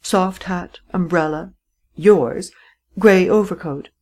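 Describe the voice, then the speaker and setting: A woman reading an audiobook voices a clever gentleman thief who gives brisk, imperious instructions in a slightly raised voice. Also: fairly low pitch. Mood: urgent. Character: cool, commanding gentleman thief, clever and persuasive.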